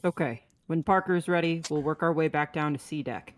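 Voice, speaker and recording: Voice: mid-range voice, brisk, close to an everyday voice. A performer playing a practical, no-nonsense spaceship captain in a sci-fi table read. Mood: businesslike.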